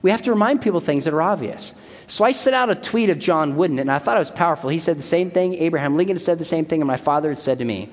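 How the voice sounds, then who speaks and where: A man's voice: a little high, expressive, fast talker. A man in his forties giving an emphatic church talk, repeating his key points. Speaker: speaker at a church. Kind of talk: sermon-style talk. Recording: long hall reverb.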